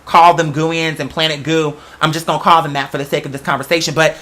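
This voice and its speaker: Bright, chatty storyteller with slangy emphasis. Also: mid-range voice, level tone, fast. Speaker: podcast host. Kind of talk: casual rambling storytelling to an audience.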